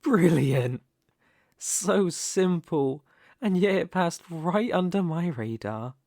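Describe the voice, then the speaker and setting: Slow, smug mafia boss, acted by a man. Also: on the high side, animated, darkish tone, dry recording. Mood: intrigued, teasing. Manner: taunting, deliberate, silky with pauses. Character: smug, menacing, possessive mafia boss toying with rival. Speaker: ASMR roleplay voice performer. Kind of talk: dramatic ASMR roleplay monologue.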